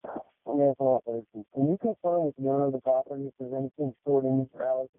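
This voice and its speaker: A man's voice: mid-range voice, fairly even intonation, fast, no room sound. A man working as a firefighter, talking in emergency radio traffic over a two-way radio. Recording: tinny narrowband radio transmission.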